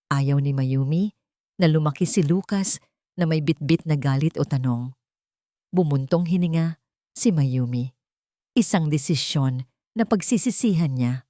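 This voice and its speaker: A woman's voice: low voice, dark tone, no echo, clean studio sound. A woman reading a scripted story as a dramatic narrator.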